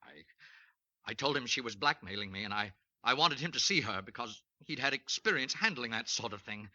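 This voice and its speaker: Brief: a flustered, stammering cornered killer, bright voice with wide swings, old lo-fi radio sound. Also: male voice, medium pitch, animated, normal speed. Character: nervous cornered male killer, pleading. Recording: lo-fi 1940s radio recording.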